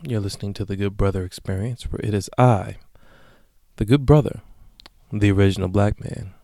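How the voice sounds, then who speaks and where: A man in his late twenties hosting a solo podcast, talking casually and profanely with sudden loud bursts. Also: on the low side, moderate pace, gravelly, no room sound, studio quality. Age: late 20s. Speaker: podcast host.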